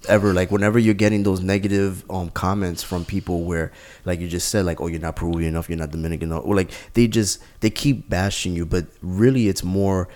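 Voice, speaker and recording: A man with a very deep voice asks questions in an interview. He speaks slowly and carefully and keeps a thoughtful tone. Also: little pitch movement, darkish tone. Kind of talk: casual interview.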